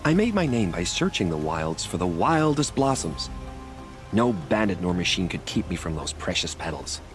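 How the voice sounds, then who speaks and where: A poetic, lovestruck gentleman adventurer from a video game. His deep voice rises and falls grandly, with theatrical, flowery phrasing. Music plays clearly behind him. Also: very animated, normal speed. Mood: proud. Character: florid, romantic male former adventurer and flower collector.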